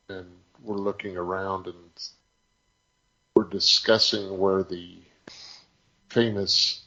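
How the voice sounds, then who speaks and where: A muffled, lo-fi recording of a man with a fairly low voice. He talks very slowly and casually as a podcast co-host. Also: pushed and bright. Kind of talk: casual chat. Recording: dull, lo-fi sound.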